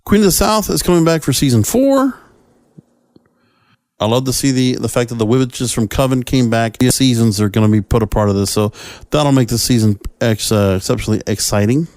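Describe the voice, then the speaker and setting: A man's voice: mid-range voice, expressive, a little fast, a little mellow, no room sound. A man hosting a solo podcast, talking casually about entertainment in a rambling, opinionated, conversational way. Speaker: podcast host.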